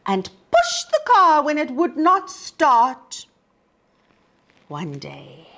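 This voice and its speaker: A woman reading a storybook aloud for young children in a deliberate, expressive way, as a storytime host. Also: high voice.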